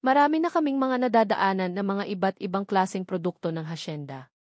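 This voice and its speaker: A woman's voice: mid-range voice, brisk, a little mellow, no room sound. Female Filipino audiobook narrator, first-person romance fiction, mid-range voice, dry sound.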